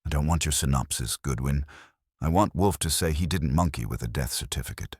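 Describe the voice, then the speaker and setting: A man voicing a gruff, irritable, weary police inspector with a blunt, grumbling manner in a detective audiobook. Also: extremely low pitch, a little fast, no room sound, clean studio sound. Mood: demanding, annoyed.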